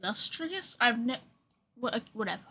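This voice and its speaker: Bright-voiced woman streaming a game. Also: a little high, sing-song, moderate pace, slightly rough. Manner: informal, reacting aloud. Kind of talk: casual livestream commentary.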